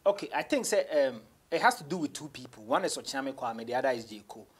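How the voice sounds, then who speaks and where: A young adult man with a Ghanaian accent speaks as a guest in a casual interview. His voice is husky and sits at a medium pitch. He moves between English and Twi as he talks. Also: big pitch swings, fast. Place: small radio studio office.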